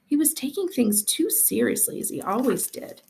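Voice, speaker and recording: Very creaky woman reading a story aloud. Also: on the high side, big pitch swings, moderate pace, darkish tone. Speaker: school librarian reading aloud. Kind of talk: expressive storybook narration.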